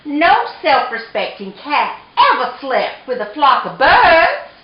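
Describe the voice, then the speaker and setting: A stern storybook mother cat, acted out by a woman reader, high and swooping in pitch. She scolds with exasperated, drawn-out emphasis. The sound is roomy and a bit dull. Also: big pitch swings, full character voice. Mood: scolding.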